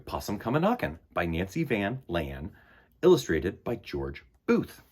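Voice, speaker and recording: A man hosting a children's storytime, chatting to young listeners and reading aloud in a playful, animated way. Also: sits low, unhurried, husky.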